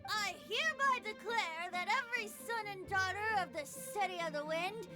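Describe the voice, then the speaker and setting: A woman's voice: very high voice, moderate pace. A woman voicing a whimsical, theatrical, tipsy young male bard with florid delivery in an anime game. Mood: tipsy, jubilant. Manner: grandiose, florid. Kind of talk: anime game dub acting. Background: music.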